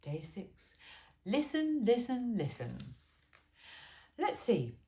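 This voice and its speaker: A woman's voice: fairly high pitch, wildly swooping pitch, takes it slowly, vocal fry, warm tone. Echoey, wry woman reading aloud. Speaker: amateur reader of a humorous self-help book. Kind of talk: informal book read-aloud with chatty asides. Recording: roomy, echoey sound.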